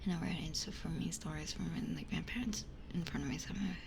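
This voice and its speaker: A woman's voice: low-pitched, quick pace, creaky, background noise. A woman streamer chatting casually in an ASMR style, blunt and giggly, close to a binaural microphone. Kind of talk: whispered ASMR casual chat.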